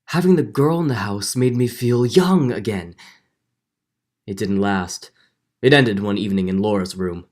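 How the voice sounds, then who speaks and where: A man's voice: fairly low pitch, measured pace, darkish tone, close to an everyday voice. A man playing a middle-aged judge, earnest and guilt-ridden, in a dramatic 1940s radio drama style with hesitant turns. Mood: brooding, confessional. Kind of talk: 1940s radio drama acting with first-person narration.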